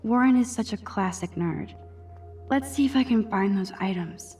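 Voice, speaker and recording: A woman's voice: mid-range voice, normal speed, a little mellow, lightly acted. Actress as teenage student girl, American accent, nerdy, wry, quippy, musing to herself, video game dialogue, music behind.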